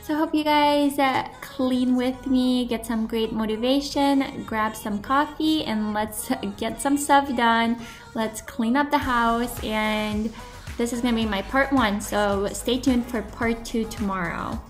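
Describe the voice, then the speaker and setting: An upbeat young woman chats casually about cleaning and her favorite products, with a fairly high voice. Soft pop music plays quietly behind her. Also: steady tone, a little slow. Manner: enthusiastic, chatty, recommending. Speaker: cleaning vlogger. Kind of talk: casual voice-over product talk. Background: background pop music.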